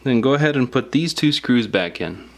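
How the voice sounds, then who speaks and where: A man's voice: medium pitch, animated, moderate pace. A man giving casual, step-by-step hands-on instructions in a plain-spoken, informal way. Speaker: repair tutorial host.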